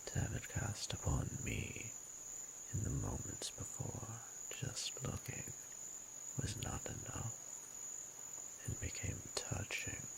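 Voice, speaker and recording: Guy doing an ancient dragon lord for romance roleplay, really low n gravelly, breathy, courtly teasing, faint crickets behind. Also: fairly bright tone, slight background noise, heavily acted, poor audio. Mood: soft, admiring. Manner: formal, archaic, teasing and courtly. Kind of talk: romantic audio roleplay, intimate slow monologue.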